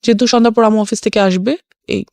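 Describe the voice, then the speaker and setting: A woman's voice: on the high side, steady tone, fast talker, vocal fry, darkish tone, no echo, clean studio sound. A woman with a Bangladeshi accent telling her own life story as an interview guest, slipping English phrases in. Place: radio studio.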